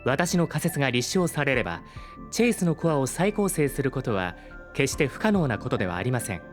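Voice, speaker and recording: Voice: mid-range voice, very animated, natural voice. An actor as a formal, courteous artificial intelligence, music behind. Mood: calm, courteous. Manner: formal, polite, measured speech. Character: polite, formal artificial intelligence, calm and unemotional. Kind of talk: scripted audio drama acting.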